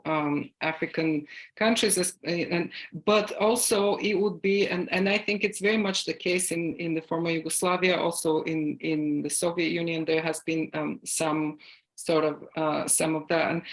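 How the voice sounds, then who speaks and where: A woman's voice: low-pitched, gravelly, low-quality recording. Woman scholar on a video call, keeps restarting her sentences, thinking aloud. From Bulgaria. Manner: halting, many fillers and restarts. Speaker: guest speaker. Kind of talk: academic Q&A answer on a video call.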